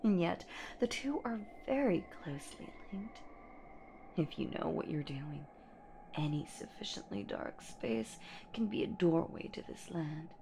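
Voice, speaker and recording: A woman's voice: sits low, expressive, measured pace, vocal fry, dark tone, a little hiss or hum, full character voice. A woman voicing a menacing, playful centuries-old boogeywoman in a horror audio roleplay, with a theatrical, teasing manner that often slips toward a whisper. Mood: sinister, relishing. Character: menacing, playful centuries-old female boogeywoman monster hunting her prey.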